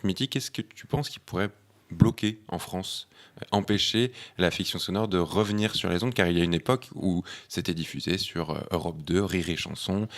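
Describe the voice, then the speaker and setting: Male host with a deep voice, measured and conversational, leading a discussion with questions. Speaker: podcast host. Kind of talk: conversational interviewing.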